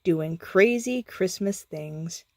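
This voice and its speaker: A woman narrating a scripted bedtime story in a theatrical, deliberate manner. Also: fairly low pitch, a little hiss or hum.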